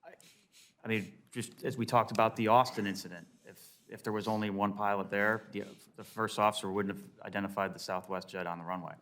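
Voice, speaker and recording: A man's voice: lowish voice, fairly even intonation, a little fast, fairly bright tone. An American man, an airline pilot, giving unscripted, emphatic and explanatory testimony at a hearing.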